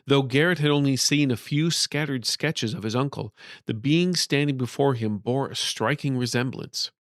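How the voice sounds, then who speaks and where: Male storyteller for scripted fantasy narration, medium pitch, very little room sound. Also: moderate pace, no echo, clean studio sound.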